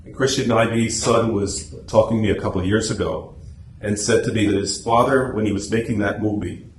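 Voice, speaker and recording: A man's voice: fairly low pitch, steady tone, a little fast. A man giving an informal club talk in a meeting room, explaining things through anecdotes. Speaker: guest speaker, radio drama society officer. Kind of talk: informal club presentation and discussion. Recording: echoey room, faint background noise.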